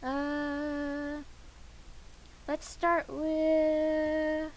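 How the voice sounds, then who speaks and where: A woman's voice: high-pitched, monotone, drawn-out words. A young woman streaming a game, chatting casually to herself and humming filler sounds while she waits.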